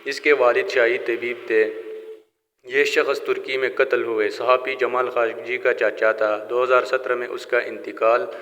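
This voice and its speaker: A man's voice: medium pitch, little pitch movement, unhurried, fairly bright tone. Man narrating, in long reverb. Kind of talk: scripted storytelling narration with moral lesson.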